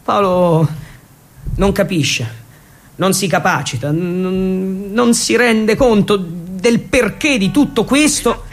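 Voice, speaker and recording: High-pitched male theatrical storyteller. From Abruzzo, Italy. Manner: irreverent, emphatic, rhetorical questioning. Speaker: solo narrator and actor. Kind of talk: theatrical narration, radio storytelling. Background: music.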